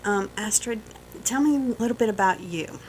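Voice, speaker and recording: A woman's voice: medium pitch, normal speed, slight background noise, poor audio. A middle-aged woman with a Southern US accent hosting a radio interview in a studio, chatty and encouraging. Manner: gushing, encouraging, chatty with laughs. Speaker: radio host. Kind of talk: upbeat radio interview chat.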